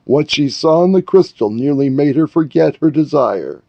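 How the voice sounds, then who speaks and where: A man narrating a scripted fairy tale as a storyteller, in a measured way. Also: on the high side, lively intonation, creaky, dark tone, no echo, lo-fi sound. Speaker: author and narrator.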